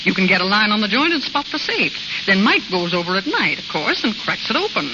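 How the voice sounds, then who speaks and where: An actress playing a brassy, impatient American gang woman with a sharp tongue, in old radio drama style. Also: mid-range voice, sing-song, a little fast, natural voice. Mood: impatient, sarcastic. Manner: sharp-tongued, slangy, griping. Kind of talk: 1940s radio drama acting. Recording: muffled, noisy old radio broadcast.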